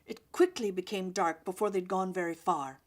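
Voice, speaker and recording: A woman storyteller reading a children's story aloud in an expressive, deliberate way. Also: lowish voice.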